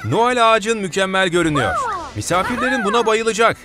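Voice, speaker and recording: High, animated male cartoon narrator, encouraging; loud music underneath. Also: fast. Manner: encouraging, talks to the truck and little cars. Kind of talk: cheerful scripted cartoon narration.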